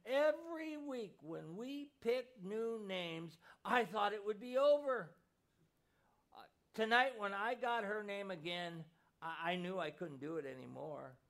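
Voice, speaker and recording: A man's voice: sits high, a little slow. A man doing a tearful little boy. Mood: sobbing. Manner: choked, sniffling, pleading.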